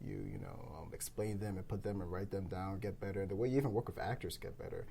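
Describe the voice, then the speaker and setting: A podcast co-host chatting casually at a round table, with an animated, emphatic, slangy way of talking. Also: low voice, fast, gravelly. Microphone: podcast microphone. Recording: faint room noise.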